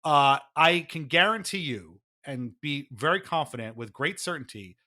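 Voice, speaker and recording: A man with a fairly high, bright and forceful voice co-hosts a sports podcast. He delivers opinionated commentary emphatically, with a wry note of exasperation. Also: lively intonation, normal speed. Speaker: podcast co-host.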